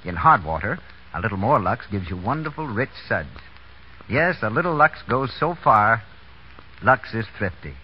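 A man's voice: fairly low pitch, very animated, normal speed, husky. An American man announcing in polished, persuasive 1930s radio style. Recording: scratchy muffled 1930s radio broadcast.

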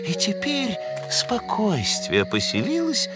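Pompous elk scientist, slow, swoopy, loud music underneath. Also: male voice, high voice, huge pitch swings, full character voice. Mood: lofty. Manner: pompous, lecturing. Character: pompous male elk scientist, lofty mentor. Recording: cartoon soundtrack with loud music.

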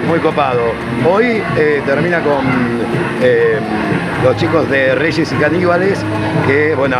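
A man's voice: on the high side, low-quality recording. A man in his thirties with an Argentine accent, talking enthusiastically and colloquially in a casual interview into a handheld microphone. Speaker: event presenter being interviewed. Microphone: handheld reporter microphone. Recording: loud music behind the voice. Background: loud music, venue ambience.

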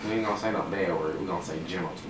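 A man's voice: deep voice, very fast, distant mic. A young man with a Southern US accent, a rapper guest chatting on a small radio show, laid-back and full of slang. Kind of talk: casual radio interview. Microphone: studio mic on boom, recorded from across the room. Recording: echoey room, voice off-mic, noisy.

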